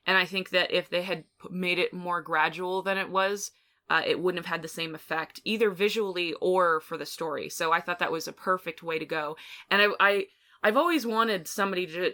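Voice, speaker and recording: Bright-voiced woman chatting about film. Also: on the low side. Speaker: podcast co-host. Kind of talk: casual film discussion.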